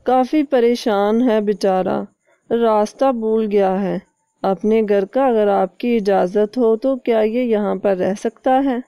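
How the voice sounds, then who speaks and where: A woman's voice: mid-range voice, takes it slowly, clear, resonant tone, dry recording, dull audio. A woman voicing a helpful, gullible male crow in a cartoon, with a trusting, conversational manner. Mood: respectful.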